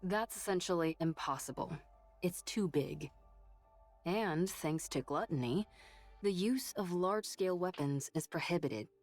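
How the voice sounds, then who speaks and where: An actress playing a capable, protective young soldier and technician with an anxious streak in an anime game. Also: female voice, fairly low pitch, measured pace. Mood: focused, confident. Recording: game music behind.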